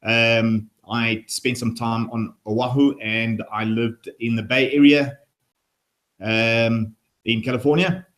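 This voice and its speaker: A middle-aged man speaks as a guest on a webinar call. His voice sits at an ordinary pitch, and he sounds upbeat and energetic, wandering through long answers full of ums and uhs. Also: slow pace. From South Africa. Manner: upbeat, energetic, rambling with many fillers. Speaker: guest, company founder. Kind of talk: webinar interview.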